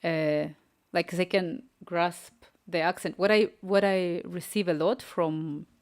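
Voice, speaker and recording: A woman's voice: on the low side, steady tone, slow pace, clear, resonant tone. A young woman with a Ukrainian accent, chatting casually to her viewers as she builds an app on a live stream, thinking aloud and answering chat. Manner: chatty, thinks aloud, reads chat messages and dictates prompts. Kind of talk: casual live-stream commentary while coding.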